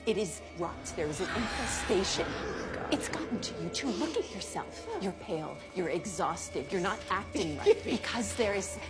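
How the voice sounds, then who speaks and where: Woman acting a frightened mother, loud music behind. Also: mid-range voice, animated, normal speed, close to an everyday voice. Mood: haunted, urgent. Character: frightened, haunted young mother, desperate and insistent. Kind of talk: horror film trailer acting. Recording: film trailer under loud music.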